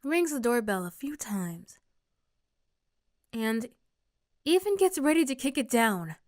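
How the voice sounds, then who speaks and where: A woman narrates a scripted roleplay story addressed to the listener. Her voice sits on the high side, and the recording is dry with very little room sound. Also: sing-song, takes it slowly, no room sound. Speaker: ASMR roleplay performer and narrator.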